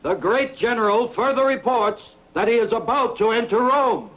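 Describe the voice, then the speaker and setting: A man's voice: extremely high pitch, animated, moderate pace, lo-fi sound, noisy background. An actor playing a proud, pompous Roman emperor in a dubbed sword-and-sandal film, declaiming his lines. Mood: pompous, proclaiming.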